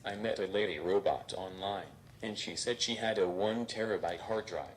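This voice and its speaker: A man voicing a robot stand-up comedian who delivers deadpan jokes on stage. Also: low-pitched, normal speed, slight background noise, close to an everyday voice. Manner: deadpan, flat punchlines. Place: stage before a live audience.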